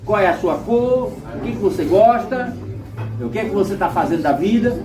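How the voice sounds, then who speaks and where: A man, explaining his views at a panel in a measured way, full of rhetorical questions. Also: sits high, lively intonation. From Rio Grande do Norte, Brazil. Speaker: panel speaker. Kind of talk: lecture-like discussion. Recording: echoey room, distant mic, music behind.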